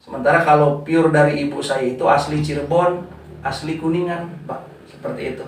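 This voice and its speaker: A man in his thirties gives relaxed interview answers, pausing on small fillers. His voice sits a little high for a man. He talks from some way off the mic in a very echoey room. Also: recorded from a distance, slight background noise. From West Java, Indonesia. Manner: unhurried, with frequent "eh" fillers, addressing the interviewer as "Pak". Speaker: founder of a community organization.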